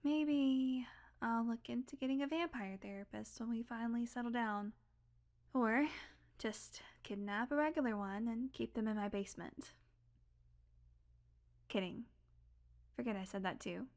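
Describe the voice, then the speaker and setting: Sardonic female vampire , played by an actress, higher-pitched, intimate and wry, brash but secretly tender, for audio roleplay. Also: unhurried, pauses often, a little mellow. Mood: wry, confiding. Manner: casual, confiding, wry, swearing, drifting into whispers.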